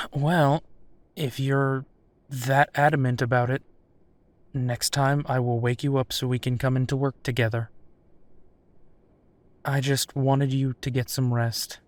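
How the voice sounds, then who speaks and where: A man's voice: medium pitch, little pitch movement, unhurried, pauses often, no echo, natural voice. A man plays a werewolf private investigator in an intimate audio drama. He takes his time over each phrase, sounding protective and fond, with a teasing edge beneath his dogged resolve. The sound is dry, with no room around the voice. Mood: gently concerned. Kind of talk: roleplay audio drama, intimate one-sided dialogue.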